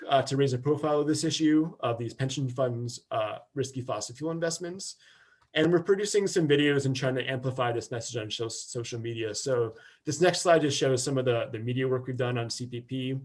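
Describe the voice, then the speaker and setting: A man's voice: medium pitch, fairly quick. A man giving a casual webinar slide presentation as a campaigner, explaining loosely with plenty of fillers over a video call.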